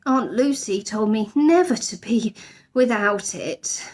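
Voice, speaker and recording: A woman's voice: a little high, lively intonation, slow, darkish tone. A woman voicing a small, polite young male bear for a storybook, earnest and easily flustered, with careful formal phrasing. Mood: panting relief.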